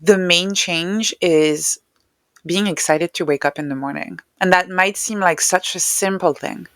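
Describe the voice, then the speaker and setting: A woman's voice: fairly low pitch, measured pace, no room sound, clean studio sound. A woman speaking as an interview guest, explaining ideas in a reflective, conversational style. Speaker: interview guest, neuroscientist and author.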